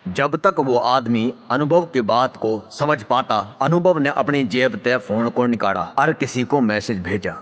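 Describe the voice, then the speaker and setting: A man's voice: mid-range voice, unhurried, fairly bright tone, in a large hall. A man with a Haryanvi accent narrating an audio drama in a dramatic scripted storytelling style.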